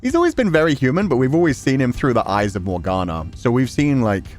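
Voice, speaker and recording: A man's voice: medium pitch, very animated, quick pace, darkish tone, faint music behind. A man giving casual, candid game commentary, reacting as he goes and swearing freely. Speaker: streamer playing a visual novel. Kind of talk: casual game commentary with read-aloud text.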